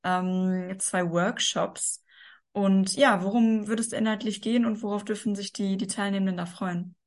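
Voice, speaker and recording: A woman's voice: medium pitch, steady tone, moderate pace. A woman hosting a podcast interview, asking friendly questions with some hesitation. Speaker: podcast host.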